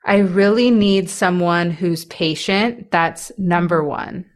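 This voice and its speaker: A young woman with a stutter talks slowly and candidly in a personal interview, her voice sitting a little low. Words catch and restart on their first sounds, and small laughs slip in between her thoughts.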